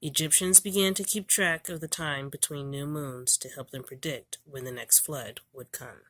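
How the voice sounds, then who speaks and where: A woman reading an educational children's book aloud in a deliberate, storybook manner. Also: low-pitched, a bit bright, dry recording.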